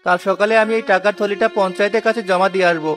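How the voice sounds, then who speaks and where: High-pitched, earnest humble porter played by a man. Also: fairly even intonation, very fast, fairly bright tone. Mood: despairing. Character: poor honest male porter, proud, wronged, humble. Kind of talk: Bengali cartoon moral tale dialogue. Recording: music behind the voice.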